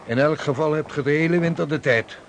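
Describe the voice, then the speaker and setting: An actor playing a jovial sea captain and mapmaker in a period radio drama, with formal old-fashioned speech and street noise behind. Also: male voice, a little high, fairly quick, close to an everyday voice. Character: hearty sea captain and mapmaker, adult man.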